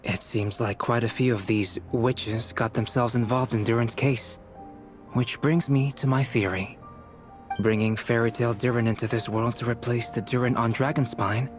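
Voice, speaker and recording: An actor playing a calm young alchemist and scholar in a video game, speaking in a measured, explanatory way. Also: male voice, mid-range voice, close to an everyday voice. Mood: analytical. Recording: background music under dialogue.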